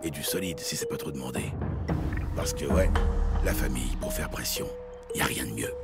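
An actor playing a brash, foul-mouthed bullying police detective in a French audio drama, sardonic and intimidating, with a gravelly voice. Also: male voice, very deep voice, moderate pace. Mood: weary narration. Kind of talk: French audio drama acting and noir narration. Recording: loud music bed behind the voice.

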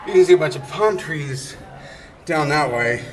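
Higher-voiced man in an echoey stairwell. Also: moderate pace. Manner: chatty, good-humored, chuckling; exerting himself climbing stairs while talking. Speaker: amateur vlogger filming a sightseeing climb. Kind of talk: casual handheld travel narration to camera. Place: inside a narrow brick lighthouse stairwell. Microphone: handheld camera held by the speaker. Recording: echoey stairwell, long reverb, clear noise.

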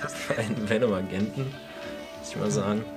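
A man's voice: fairly low pitch, brisk. A man giving casual, chatty and joking fan commentary, with a cartoon soundtrack behind him. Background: cartoon soundtrack and music.

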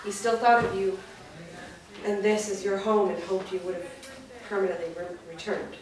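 A woman's voice: medium pitch, level tone, moderate pace, a little mellow, lightly acted. A woman playing a guarded young woman who firmly sends an old love away, read at a staged reading in an echoey studio. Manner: firm, guarded. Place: large indoor rehearsal studio. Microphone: far camera mic. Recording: noisy echoey studio from far off.

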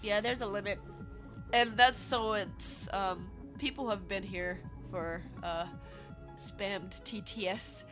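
A woman's voice: medium pitch, slow, music in the background. A congested, nasal-sounding woman chatting casually and playfully with viewers as a livestreamer, giggly and affectionate. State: congested from illness. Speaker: streamer.

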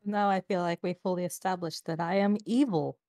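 A woman's voice: lowish voice, fairly even intonation, a little fast, mellow, dry recording, clean studio sound. A woman co-hosting a game stream with casual, teasing banter. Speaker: let's play co-host. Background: game music.

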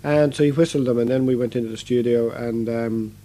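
A man talking about his music in a relaxed interview. His voice is mellow and dark. He rambles, with plenty of fillers and restarts. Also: mid-range voice, brisk. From Ireland. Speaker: guest musician. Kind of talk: casual interview chat.

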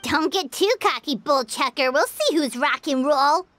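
A woman voicing a tiny, excitable female fairy companion in a video game, with a high squeaky voice and a bossy, boastful way of talking. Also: unhurried, a little hiss or hum. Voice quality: high squeaky voice, talks about herself in third person. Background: game music.

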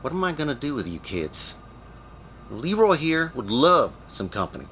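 An actor playing a weary, exasperated small-town sheriff with gruff, folksy authority in an audio drama. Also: male voice, medium pitch, very animated, normal speed, a bit bright, a little hiss or hum. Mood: weary, stern.

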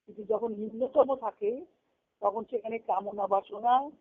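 Over a narrow, muffled conference line, a woman teaches in a spiritual discourse. She speaks slowly, going back over key phrases and putting them in other words. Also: on the high side. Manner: repeats and rephrases key phrases for explanation. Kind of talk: spiritual lecture, reading quotations and explaining them. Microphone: conference call. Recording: muffled lo-fi conference call audio.

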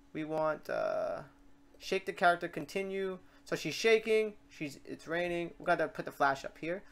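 Software tutorial host, mid-range voice, informal, rambling, thinking out loud, screen-recording voice-over. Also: medium pitch. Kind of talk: casual software tutorial narration.

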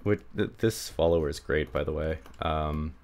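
A man's voice: very deep voice, normal speed, darkish tone. A man giving a casual hands-on tutorial, rambling with many fillers and self-deprecating asides, sounding slightly stuffy from a cold. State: stuffy, getting sick. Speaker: hobby lockpicker demonstrating. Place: indoors at a table.

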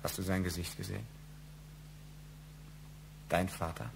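A man's voice: low-pitched, steady tone, a little slow, gravelly, noisy background, full character voice. A male actor playing a brooding, suspicious husband with wounded pride in a German radio crime drama, intense and probing. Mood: bitter.

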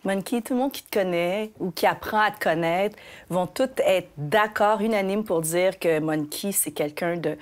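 A woman's voice: on the low side, moderate pace. A woman with a Québécois accent hosting an interview, asking conversational, admiring questions on a lapel mic in a small lounge studio. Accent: Québécois French. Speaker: interview host.

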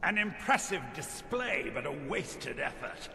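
An actor playing a haughty, menacing vampire villain in a video game, theatrical and contemptuous. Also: male voice, high-pitched, very animated, unhurried, vocal fry, heavily acted. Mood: cold contempt. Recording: game audio under loud music.

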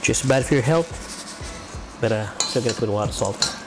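A man's voice: medium pitch, moderate pace, loud music behind the voice. A young man with a Filipino accent chatting casually to the camera while he cooks in his home kitchen, making joking asides. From Philippines. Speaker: vlogger. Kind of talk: casual chat while cooking.

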